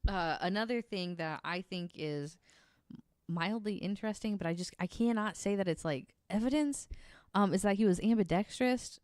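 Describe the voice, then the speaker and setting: A woman's voice: medium pitch, moderate pace, a little mellow, no echo, clean studio sound. A woman co-hosting a casual podcast, mixing wry banter with reading from notes in an informal way. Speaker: podcast co-host.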